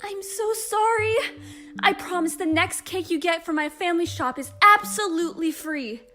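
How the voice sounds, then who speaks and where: A woman's voice: very high voice, moderate pace, sharp tone, soft background music. A woman playing a clumsy, flustered, sweet teenage schoolgirl in a fan audio drama. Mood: flustered, apologetic. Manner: flustered, apologetic.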